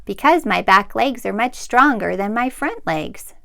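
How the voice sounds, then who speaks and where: Storybook dung beetle, male, small, proud and earnest, eager explainer, very animated delivery by a female reader. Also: fairly high pitch, unhurried, gravelly, exaggerated voice. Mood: earnest explaining. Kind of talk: children's storybook read-aloud.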